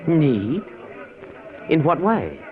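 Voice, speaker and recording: Agreeable, inquisitive male lead in his thirties, old radio drama, lively intonation, hesitant wonder, narrow phone-like sound. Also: on the high side, drawn-out words, crowd noise, full character voice. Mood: curious. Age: 30s. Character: curious, trusting young man, the professor's test subject. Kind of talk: 1950s radio drama acting.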